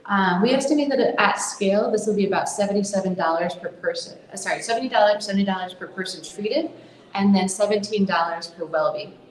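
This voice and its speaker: A woman's voice: mid-range voice, darkish tone, roomy sound, poor audio. An American woman, co-founder of a charity, giving an earnest conference talk with frequent fillers and restarts. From United States. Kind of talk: conference presentation. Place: conference room.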